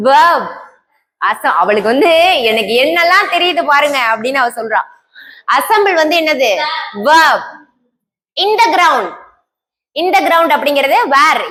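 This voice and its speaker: A woman teaching a classroom grammar lesson, explaining step by step, quizzing the class and answering herself, and reading English example sentences out slowly word by word. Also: high voice, pushed and bright. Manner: scolds and teases students lightly between explanations.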